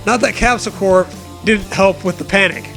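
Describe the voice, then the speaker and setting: A man's voice: high-pitched, normal speed, gravelly, music in the background. A man co-hosting a comedy show, mockingly reading fan fiction aloud with sarcastic, profane banter.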